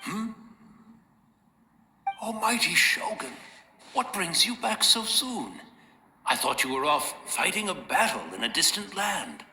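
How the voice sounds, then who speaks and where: A man's voice: sits high, big pitch swings, unhurried, long pauses, bright, forceful tone, full character voice. A man playing a humble, courtly tea master and loyal retainer in a video game, formal and deferential. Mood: reverent. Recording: echoing, with noise behind.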